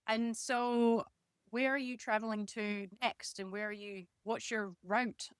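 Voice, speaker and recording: A woman's voice: fairly high pitch, steady tone, a little slow, clear, resonant tone, dry recording, clean studio sound. A woman hosting a podcast interview, asking conversational questions and responding in an encouraging way.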